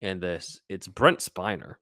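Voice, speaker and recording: A man with a deep voice co-hosts a casual pop culture podcast. He talks in a rambling, enthusiastic fan's manner. The sound is dry, with hardly any room to it. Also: measured pace, pushed and bright, no echo. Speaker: podcast co-host.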